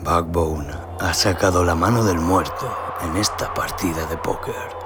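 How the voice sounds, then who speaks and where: A man narrating a scripted western horror tale in a brooding, theatrical, deliberate manner. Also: low voice. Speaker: audio story narrator. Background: cinematic sound design and music.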